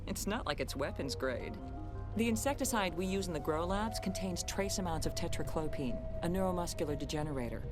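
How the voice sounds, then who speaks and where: A woman's voice: fairly low pitch, lively intonation, fairly quick, music in the background, lightly acted. An actress playing a resolute middle-aged colony scientist and mother in a dramatic video game. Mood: firm, practical.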